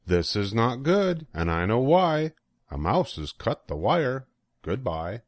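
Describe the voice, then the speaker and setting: Insistent storybook phone caller done by an older man, emphatic, lively swoops, dry recording. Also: medium pitch, slow, no echo. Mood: let down. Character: storybook caller on a telephone, insistent then let down.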